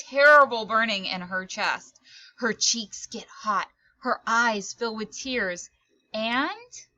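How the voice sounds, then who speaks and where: A woman storyteller reading a children's picture book aloud in expressive narration, with dramatic, deliberate phrasing. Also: a little high, dry recording, muffled recording.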